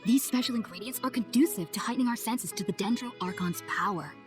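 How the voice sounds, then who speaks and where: A woman playing an earnest, polite young scholar in a video game, speaking in a formal, measured, articulate way. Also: on the high side, over music, natural voice. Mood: earnest, explaining. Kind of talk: video game cutscene acting.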